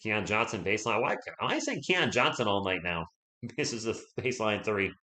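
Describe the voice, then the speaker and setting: A man's voice: fairly low pitch, very animated, a little fast, sharp tone, roomy sound. A man hosting a live fan play-by-play stream, chatty and loose, reading viewer comments and rooting for his team while losing his voice.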